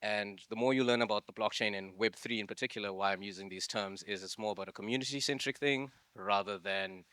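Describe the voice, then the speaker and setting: Young guy from zimbabwe presenting, bright crisp voice, low-ish, dry sound, lots of likes and ums. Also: dry recording. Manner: loose, conversational, lots of "like" and "um". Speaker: masterclass speaker. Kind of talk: live presentation to an audience.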